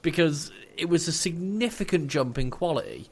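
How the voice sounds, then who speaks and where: A man's voice: a little high, expressive, moderate pace, darkish tone, a little hiss or hum. A man giving his opinions casually as a podcast co-host.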